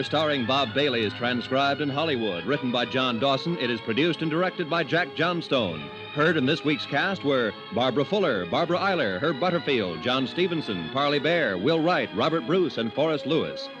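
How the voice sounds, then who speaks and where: A man's voice: medium pitch, sing-song, a little fast. An American man reading scripted credits as an announcer in vintage radio style. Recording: dull vintage radio recording. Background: prominent theme music.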